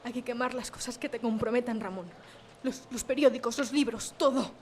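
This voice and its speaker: A woman's voice: fairly high pitch, fairly quick, a little hiss or hum, close to an everyday voice. A woman playing a devout, anxious but strong-willed village mother in a radio drama. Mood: alarmed. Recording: music behind the voice.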